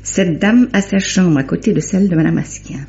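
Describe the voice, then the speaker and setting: A woman's voice: on the low side, a little fast, warm tone, natural voice. An actress playing a shrewd, self-assured lady investigator, speaking knowingly and articulately in old-fashioned radio drama style. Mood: confident, explanatory. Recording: dull, faintly noisy old radio recording.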